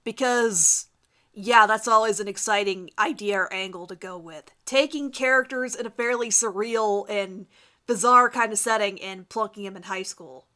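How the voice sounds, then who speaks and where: A woman's voice: medium pitch, normal speed, pushed and bright. A woman casually introducing a dramatic reading of her own writing, sarcastic and self-mocking. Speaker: fan fiction author and reader.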